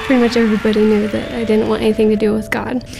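A woman gives a confessional personal testimony in halting phrases. Her fairly high voice is flat and creaky, with instrumental music clearly behind her. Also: heavy background noise.

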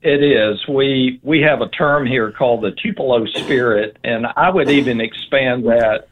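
A man speaking in an earnest, deliberate, conversational way over a phone-like line. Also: medium pitch, noisy background. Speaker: interview guest. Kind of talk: measured conversational talk. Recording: thin phone-line sound.

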